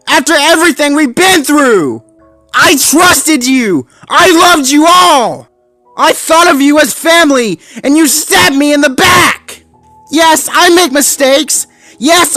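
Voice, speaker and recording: A young male dalmatian pup, clumsy and deeply hurt, yelling his anger in a high, strained voice. His voice sits far above a grown man's and cracks with feeling. It is recorded dry, with faint music behind. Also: dry recording. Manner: shouting through tears, then choked and pleading.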